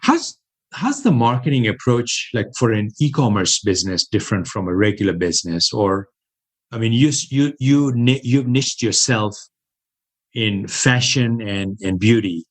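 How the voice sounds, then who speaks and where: An American man with a somewhat low voice hosts a podcast interview. He is upbeat and restarts often as he works out his questions. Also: expressive. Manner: upbeat, halting, many restarts. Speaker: podcast host.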